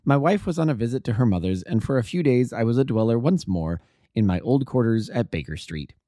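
A man narrates a classic story in a deliberate, scripted style. His voice is soft and mellow with lots of melodic movement, recorded close and very dry. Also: medium pitch, no room sound. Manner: expressive, deliberate. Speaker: amateur audiobook narrator.

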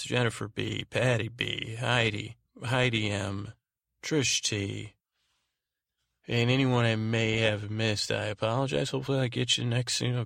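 A man hosting a bedtime podcast in a meandering, halting monologue, often rephrasing himself, with a gravelly voice. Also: lowish voice, fairly bright tone, dry recording, studio quality. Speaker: podcast host. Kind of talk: rambling bedtime monologue and thank-you announcements.

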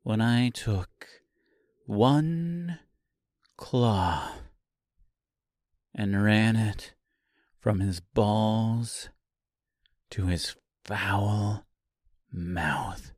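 Man acting wicked witch girl, storybook villain, very slow, precise, calm menace, dry close sound. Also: lowish voice, no room sound. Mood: calm, cold.

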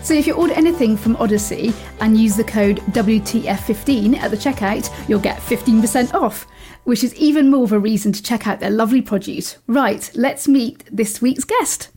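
A woman's voice: on the high side, normal speed, dark tone, soft background music. A woman co-hosting a food podcast, reading an ad and welcoming a guest in a friendly way. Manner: friendly, reads lines smoothly. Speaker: podcast co-host, food blogger.

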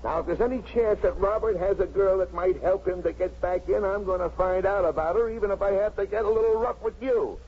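A man's voice: high-pitched, a little fast, few pauses. An actor in a 1950s radio drama playing an earnest, blunt, dogged policeman who talks plainly, urgently and in slang. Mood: blunt, insistent. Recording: muffled, hissy 1950s radio recording.

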